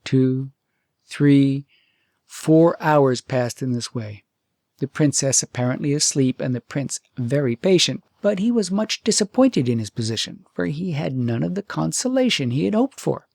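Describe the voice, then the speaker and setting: Guy narrating a fairytale audiobook, husky mid-pitched voice, really dry close sound. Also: animated, measured pace, a little mellow, no echo. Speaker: audiobook storyteller.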